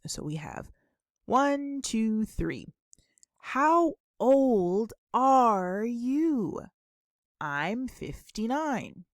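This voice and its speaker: A woman teaching a beginner English lesson, deliberately enunciating and repeating model questions and answers in an instructional way. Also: fairly high pitch, dry recording, clean studio sound.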